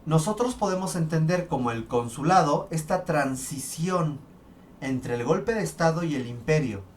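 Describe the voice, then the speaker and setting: A man giving a recorded history lesson, explaining step by step with fillers and restarts. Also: mid-range voice. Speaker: history lecturer. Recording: distant mic, faint background noise.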